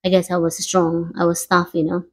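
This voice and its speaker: A woman's voice: lowish voice, completely flat delivery, breathy edge. Middle-aged Filipino-accented woman vlogging casually. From Philippines. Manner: halting, conversational, frequently seeking agreement with "you know". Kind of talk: casual personal storytelling to camera.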